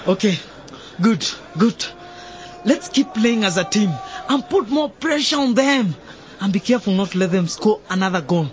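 Eager, high-voiced schoolboy captain. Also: male voice, expressive, moderate pace. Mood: anxious, rallying. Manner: urgent, rallying. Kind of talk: radio drama acting. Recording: dull sound, loud sports-field noise.

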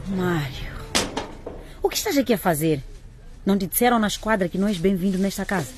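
An actress playing an indignant, hard-working market-trader mother in a radio drama, sharp-tongued, scolding and sarcastic. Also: mid-range voice, expressive, a little fast, background noise, natural voice. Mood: angry, incredulous.

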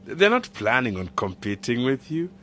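An actor playing a principled village official in a radio drama, measured, polite and earnest. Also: male voice, mid-range voice, very animated, fairly bright tone, slight background noise. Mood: reassuring.

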